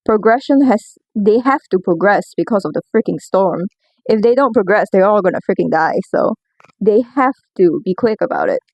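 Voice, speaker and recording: A woman with a mid-pitched voice talks in a loose, rambling way, as if sharing opinions in a personal audio log. She is informal and self-deprecating, with casual swearing. The sound is close and dry, with very little room echo. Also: darkish tone. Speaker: video creator giving commentary.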